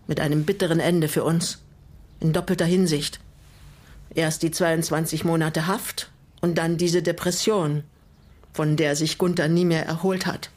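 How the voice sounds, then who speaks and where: An older woman in a naturalistic radio crime drama. She speaks in a measured, composed way. Bitterness shows in her pointed rhetorical questions. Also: low voice, fairly even intonation, darkish tone. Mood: pointed, grave. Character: composed, bitter older widow, former political prisoner. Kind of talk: naturalistic German radio play acting.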